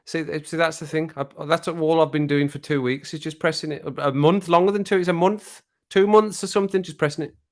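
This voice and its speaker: A man hosting a casual live stream from home over a drink, greeting viewers in the chat and laughing at his own jokes. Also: on the high side. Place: at home, living alone.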